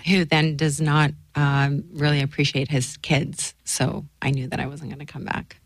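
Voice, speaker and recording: A woman's voice: low voice, level tone, normal speed, no room sound. A woman actress being interviewed, chatting in an easygoing way. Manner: chatty, easygoing, laughs readily. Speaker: actress guest. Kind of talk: casual interview chat.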